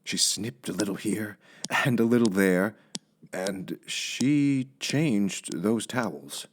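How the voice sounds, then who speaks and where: A man's voice: lowish voice, expressive, takes it slowly. A man narrating a children's story from a script as an audiobook reader.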